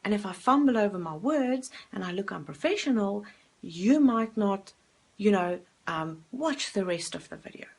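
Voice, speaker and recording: A woman's voice: mid-range voice, animated, moderate pace, a little mellow, breathy edge. A woman, a coach talking straight to camera in a self-help video, earnest and direct, putting questions to herself and answering them. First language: Afrikaans.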